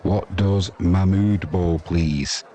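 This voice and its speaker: A man's voice: low voice, steady tone, slow pace, warm tone. A man doing casual, chatty live cricket commentary and talking to viewers, with dry, informal asides. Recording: crowd noise behind the voice. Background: sports crowd.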